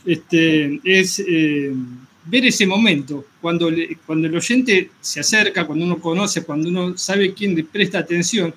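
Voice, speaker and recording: A man with an Argentine accent, a workshop teacher and radio host, speaking reflectively and informally in wordy, halting sentences. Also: fairly high pitch, a bit bright, slight background noise.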